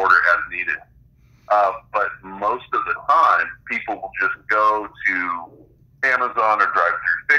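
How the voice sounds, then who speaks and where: A man being interviewed as an author, explaining things conversationally with halting pauses over a dull, band-limited line. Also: sits low, sharp tone.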